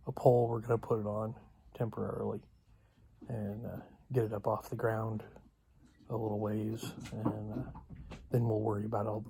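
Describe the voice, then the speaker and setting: Low, gravelly man with a flat delivery. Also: a little hiss or hum. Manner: halting, rambling delivery with long pauses. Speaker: video blogger. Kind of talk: casual unscripted update.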